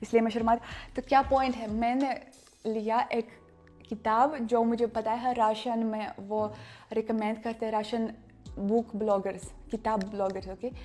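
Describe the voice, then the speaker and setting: A woman's voice: on the high side, flat delivery, warm tone, faint music behind. Russian-accented woman vlogging in careful Hindi. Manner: halting, searches for words, many restarts. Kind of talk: casual chat to camera.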